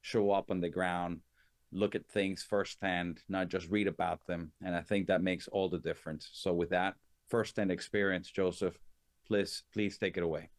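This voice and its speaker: A man moderating a webinar panel, introducing speakers in a measured way with occasional fillers. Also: sits low, level tone, dry recording, clean studio sound. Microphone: video call.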